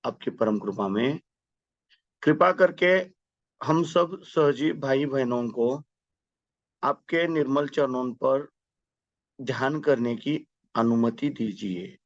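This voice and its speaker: A man leading a guided meditation with prayer, giving step-by-step instructions in a measured, devotional manner. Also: mid-range voice, expressive, no echo, muffled recording.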